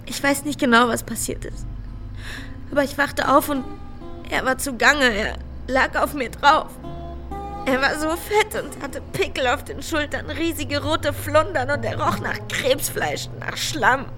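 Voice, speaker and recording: A young prostitute on the run in a crime radio play. Her voice sits high with a sharp, bright edge. She sounds desperate and emotionally raw, with faint music behind her. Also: unhurried. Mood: subdued, wounded. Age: young adult. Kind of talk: radio crime drama acting.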